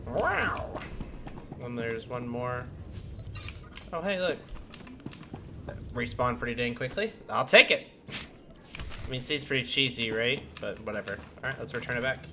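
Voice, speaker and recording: A man's voice: mid-range voice, lively intonation, a little slow, long pauses. A man doing casual, playful gameplay commentary as a streamer, chatting to himself and his viewers. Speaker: game streamer. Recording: clear background noise over commentary.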